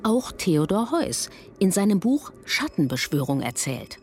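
A woman's voice: mid-range voice, very animated, a little slow, a little mellow, a little hiss or hum. A woman playing a reflective, questioning speaker in a scripted philosophical radio-play dialogue. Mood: pensive.

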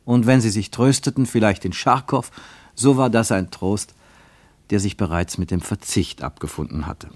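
An actor as the narrator of a literary radio travelogue, telling it in a measured, expressive way with deliberate pauses. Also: male voice, lowish voice, dry recording, close to an everyday voice. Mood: measured storytelling.

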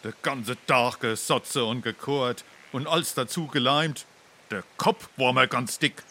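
Brief: sceptical drinking pal, Hessian dialect, mid pitch, bright forceful edge, mocking stage comedy. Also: male voice, a little slow. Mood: grumbling. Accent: Darmstadt Hessian dialect. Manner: dry, mocking, down-to-earth. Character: gruff, mocking working townsman, unimpressed drinking companion.

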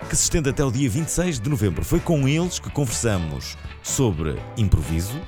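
A man's voice: medium pitch, sing-song, brisk. A man giving scripted narration for a podcast, with a playful delivery. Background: background music.

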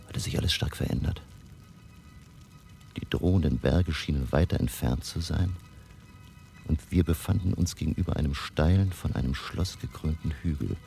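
A grave elderly vampire hunter with an extremely low, creaking voice. He speaks in solemn, measured, dramatic phrases, as if setting down a diary in a horror radio play, with music clearly underneath. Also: mellow. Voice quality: very deep, gravelly. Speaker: narrator and actor.

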